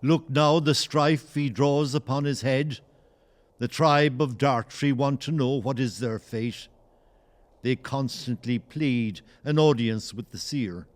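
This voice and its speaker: An actor playing a grave, disapproving chronicler of Gaelic clans, narrating an audio play in a solemn, declamatory way. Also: male voice, mid-range voice, slow pace, lightly acted.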